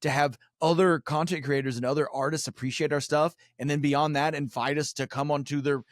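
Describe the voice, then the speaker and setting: A man's voice: fairly high pitch, steady tone, fairly quick, no echo, clean studio sound. A man chatting casually as a podcast guest, rambling and swearing freely as he breaks into his own sentences. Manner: sweary, rambling, self-interrupting. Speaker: podcast guest and co-host of a true crime show.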